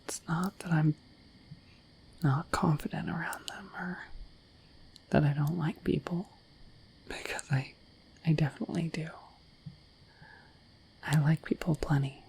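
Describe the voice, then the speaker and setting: A woman voicing a shy, affectionate dorky dragon in an ASMR roleplay, speaking in a gentle, hesitant, breathy whisper. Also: deep voice, fairly even intonation, unhurried, pauses often, slight background noise, low-quality recording. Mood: shy, sincere. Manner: gentle, hesitant, slightly bashful, intimate.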